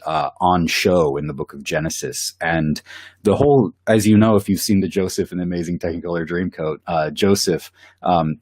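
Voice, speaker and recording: Man with a cold, very low voice, dry close sound, eager casual chat. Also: a little mellow, no echo. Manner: enthusiastic, with filler words and laughs. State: has a cold. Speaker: bible study co-host.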